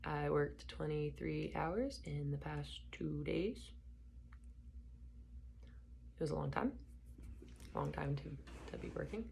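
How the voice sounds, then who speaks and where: Young woman, very low and gravelly, hoarse and tired, soft-spoken casual reader. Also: takes it slowly, pauses often, a little hiss or hum. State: hoarse, slightly lost voice. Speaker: book reader vlogger. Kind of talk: casual chat then reading aloud.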